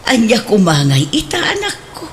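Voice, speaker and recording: A woman's voice: mid-range voice, wildly swooping pitch, moderate pace, a little hiss or hum. An actress playing a doting, nosy mother of a grown son in an Ilocano comedy radio drama, with a Filipino Ilocano accent. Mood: reassuring, teasing.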